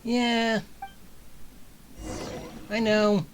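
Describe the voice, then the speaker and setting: A woman giving offhand gameplay commentary as a streamer, in short, halting remarks. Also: fairly high pitch, level tone, slight background noise. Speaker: game streamer.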